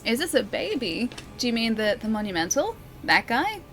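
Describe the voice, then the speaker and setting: A woman's voice: fairly high pitch, sing-song, moderate pace, fairly bright tone. A young woman from Australia streaming a video game, chatting casually and giggling as she talks to her viewers. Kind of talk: casual live-stream commentary.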